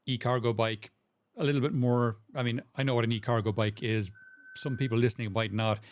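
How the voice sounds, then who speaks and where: A man's voice: mid-range voice, fairly even intonation, fairly quick, no echo. A man hosting a podcast, asking his guest conversational follow-up questions. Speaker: podcast host. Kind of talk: podcast interviewing.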